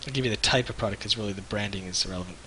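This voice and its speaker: Bright-voiced man rambling on a stream. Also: fairly low pitch, racing, slight background noise, low-quality recording. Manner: rambling, loose, jokey, hesitant. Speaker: co-host of a live stream. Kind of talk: casual live-stream chat.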